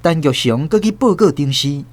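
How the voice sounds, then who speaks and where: Expressive Taiwanese man narrating a tale. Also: fairly high pitch, moderate pace. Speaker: radio drama narrator. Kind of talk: dramatized storybook narration.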